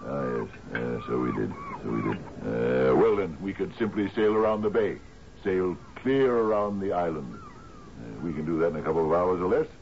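Anxious old island captain, halting n pleading, very deep, muffled hissy old radio drama. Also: male voice, lively intonation. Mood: genial, coaxing. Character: anxious, genial older island-dwelling captain, needy host.

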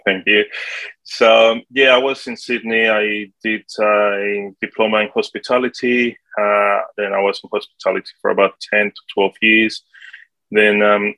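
A man in his forties from Colombia, speaking English with a Colombian Spanish accent, answering interview questions in a halting way with many fillers. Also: on the low side, sharp tone, dry recording, low-quality recording. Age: 40s. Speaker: guest, property manager.

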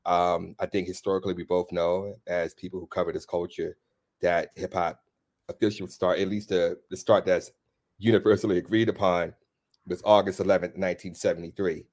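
Man, lowish voice, easygoing, lots of fillers, from D.C., co-hosting. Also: level tone, fairly quick, darkish tone. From Washington, D.C. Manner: easygoing, leads the talk with frequent fillers. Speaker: hip-hop journalist, co-host. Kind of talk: casual conversation.

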